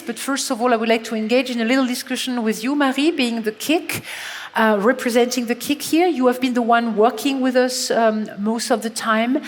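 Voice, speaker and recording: A woman moderating a conference session, speaking hesitantly and searching for words. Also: on the high side. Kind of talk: conference presentation. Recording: reverberant hall.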